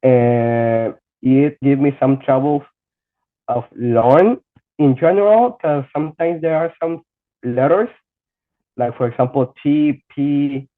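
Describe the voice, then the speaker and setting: A young man whose first language is Spanish, speaking English as a podcast guest, halting and searching for words with frequent restarts. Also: medium pitch. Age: young adult. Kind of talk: casual podcast interview. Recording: tinny phone-like line.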